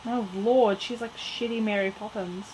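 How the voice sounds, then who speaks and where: A woman's voice: medium pitch, steady tone, moderate pace, darkish tone. A woman co-hosting a podcast, chatting casually with dry, joking remarks. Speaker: podcast co-host. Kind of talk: casual chat. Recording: noisy room ambience.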